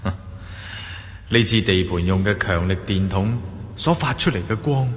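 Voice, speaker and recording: A man's voice: lowish voice, animated, normal speed, lightly acted. A man with a Hong Kong Cantonese accent playing a wary, curious adventurer in a radio drama, theatrically thinking aloud to himself. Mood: awed, curious. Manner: theatrical, exclaiming and musing to himself. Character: wary, curious male adventurer exploring a dark ancient temple. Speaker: radio drama lead actor. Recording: echoey long reverb, clearly noisy.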